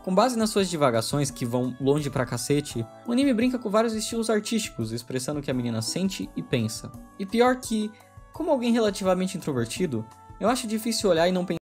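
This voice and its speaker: Fast, wry male video essay narrator. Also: high voice, big pitch swings, a little mellow, faint music behind. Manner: brisk, opinionated, wry delivery.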